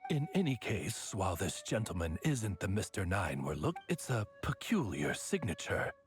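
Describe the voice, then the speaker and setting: A man voicing a courteous talking raven servant in an anime game, raspy, formal, deferential and refined. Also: on the low side, very animated, moderate pace, faint music behind. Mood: politely explaining.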